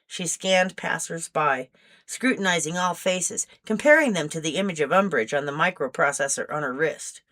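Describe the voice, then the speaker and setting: A woman's voice: deep voice, expressive, normal speed, fairly bright tone. A woman reading her own fiction aloud as scripted audiobook narration. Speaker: author reading own novel.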